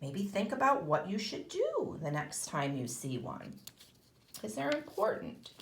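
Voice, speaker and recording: A woman's voice: medium pitch, huge pitch swings, unhurried, vocal fry. A woman kindergarten teacher giving a read-aloud lesson to young children, explaining in simple, emphatic phrases. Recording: echoey room.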